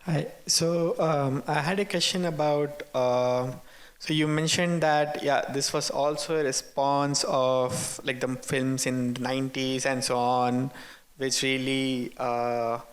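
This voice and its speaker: A man in an audience asking a spontaneous question, haltingly searching for his words. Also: mid-range voice, steady tone.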